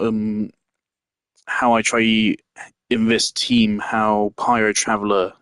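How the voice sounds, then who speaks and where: A man's voice: fairly low pitch, fairly bright tone, no echo. A man giving casual game commentary, rambling and thinking aloud as he plays. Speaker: gamer. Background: faint game music.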